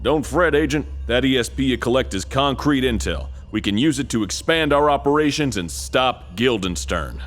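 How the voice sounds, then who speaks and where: Deadpan game commander, played by a man: mid-pitched, authoritative army major, faint music underneath. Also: moderate pace, few pauses, natural voice. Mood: reassuring, commanding. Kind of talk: comic video game cutscene acting.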